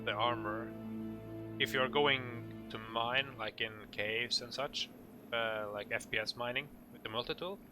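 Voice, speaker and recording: A man with a Norwegian accent giving an informal game tutorial in English, speaking hesitantly in halting phrases. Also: on the low side, noisy background. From Norway. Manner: hesitant, halting delivery with pauses. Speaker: video tutorial narrator.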